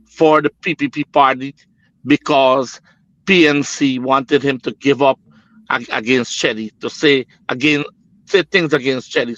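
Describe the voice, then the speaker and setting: A man's voice: mid-range voice, fairly bright tone, highs cut off. A middle-aged man with a Guyanese accent giving his political opinion as a panel guest, earnest and repetitive, with emphatic phrasing. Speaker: business executive, panel guest.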